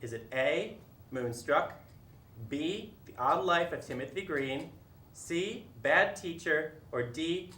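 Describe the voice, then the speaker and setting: Higher-voiced young man hosting in echoey room. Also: sharp tone. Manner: halting, stumbling over words. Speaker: quiz host. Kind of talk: casual trivia show hosting. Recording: echoey room with faint noise.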